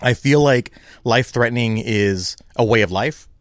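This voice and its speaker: A man's voice: medium pitch, normal speed, dry recording, clean studio sound. A man co-hosting a podcast, chatting eagerly and laughing along with his guest. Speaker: podcast co-host. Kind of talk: casual chat.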